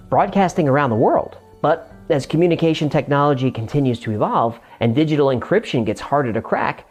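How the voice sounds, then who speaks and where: A man's voice: mid-range voice, darkish tone. A man hosting a show and narrating in a scripted explainer style.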